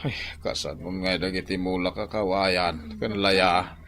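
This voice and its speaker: A man's voice: deep voice, steady tone, a little slow, fairly bright tone, a little hiss or hum. Stubborn, whining old Ilocano father. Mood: grumbling. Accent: Filipino, Ilocano. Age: older. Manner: whining, exclamatory, repetitive. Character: stubborn, grumbling old Filipino father, ailing and complaining. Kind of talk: radio drama acting.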